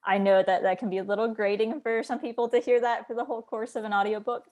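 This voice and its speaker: A woman's voice: fairly high pitch, flat delivery, quick pace, a little mellow. A woman interviewer chatting casually in a rambling way, often voicing imagined remarks as she explains. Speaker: interviewer, book editor.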